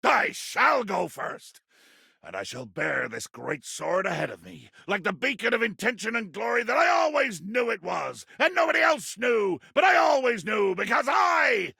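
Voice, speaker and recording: A man playing a pompous, belligerent sea captain in a video game, with a raspy voice and a theatrical, grandiloquent delivery. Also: high voice, sing-song, normal speed, pushed and bright, no room sound, shouting, studio quality, exaggerated voice. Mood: reverent, grandiose. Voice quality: gravelly, raspy.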